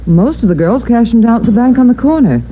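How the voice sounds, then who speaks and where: An actress performs an efficient American elevator supervisor in a vintage radio play. Her fairly high voice is animated, with curt courtesy, under loud noise and dulled sound. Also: fast, natural voice. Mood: brisk, busy. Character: busy, efficient female elevator starter, briskly in charge. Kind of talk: 1940s-50s radio drama acting. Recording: very noisy, muffled vintage radio recording.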